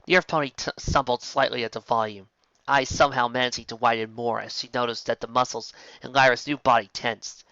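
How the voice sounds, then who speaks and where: Male amateur storyteller, bright tone, dry and lo-fi sound, plain delivery with small slips. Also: mid-range voice, steady tone, brisk, no echo. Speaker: reader. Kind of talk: fan fiction reading. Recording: dull, lo-fi home recording.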